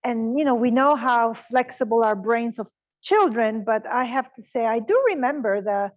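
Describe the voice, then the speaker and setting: A woman with a fairly high voice, raised in Honduras and a native Italian speaker, talks as the guest in a public lecture interview. She reflects aloud and sprinkles her answers with "you know.". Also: normal speed. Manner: thoughtful, often says "you know". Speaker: guest scientist.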